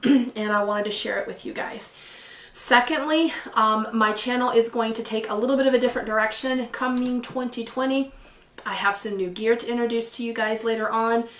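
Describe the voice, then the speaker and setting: A woman vlogging casually to camera, a little hoarse and clearing her throat now and then, chatty and excitable. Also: on the high side, normal speed, echoey room. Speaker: photography vlogger. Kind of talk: casual vlog talk to camera. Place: living room at home by a patio door.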